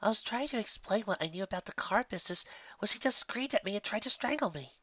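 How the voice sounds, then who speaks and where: A polite male pony from a storybook, voiced by a man. He is courteous and flattering, with a bright, lively delivery. The recording is dry and slightly muffled. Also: high voice, fast, no echo, pitched above the performer's own voice, exaggerated voice. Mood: aggrieved.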